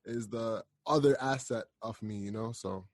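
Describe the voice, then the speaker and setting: A man from Canada hosting a podcast, asking questions in a relaxed, soft-spoken way with fillers. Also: lowish voice, moderate pace, no echo, studio quality. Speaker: podcast host. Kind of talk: casual podcast interview.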